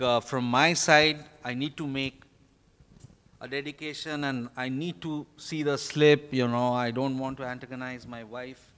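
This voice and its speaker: An Indian man in his fifties speaks as an author addressing an event from notes. He is very deliberate and unhurried, halting between short phrases. His voice is smooth and resonant, carried by a microphone in a reverberant hall. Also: medium pitch, fairly bright tone. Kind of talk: formal prepared speech from notes. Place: stage in a large hotel ballroom. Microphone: handheld microphone.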